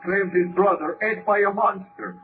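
An actor playing a clipped, official police dispatcher over radio in a 1930s drama. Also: male voice, high voice, steady tone, normal speed. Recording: noisy, tinny old police-radio broadcast.

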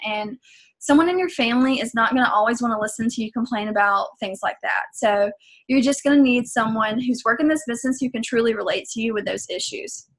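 Young Southern woman, a coach, chatty vlog delivery, a bit high-voiced. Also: fairly bright tone. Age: young adult. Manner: chatty, filler-heavy, earnest. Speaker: fitness business coach. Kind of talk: casual vlog talk to camera.

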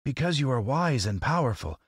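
A man's voice: medium pitch, big pitch swings, fairly quick, husky, dry recording, studio quality, pitched above the performer's own voice. A man voicing a straw-stuffed scarecrow in a storybook, humble, brave and earnest. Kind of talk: storybook character voice.

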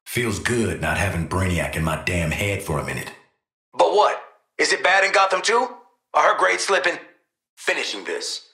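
Cocky American gunslinger with swooping pitch. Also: male voice, very animated, normal speed, bright, forceful tone, breathy edge, dry recording, clean studio sound, heavily acted. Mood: wry, off-duty, then earnest, resolute. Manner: streetwise, blunt, wisecracking. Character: cocky, gruff mercenary sharpshooter, adult man, world-weary. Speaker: voice actor. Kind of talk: video game voice lines.

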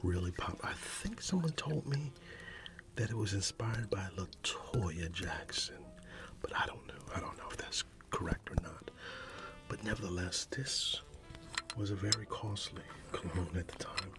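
A middle-aged man doing an ASMR roleplay, whispering and soft-spoken, reminiscing unhurriedly with quiet chuckles. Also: low voice, measured pace. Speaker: ASMR creator. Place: dim indoor room. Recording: soft music in the background. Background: music.

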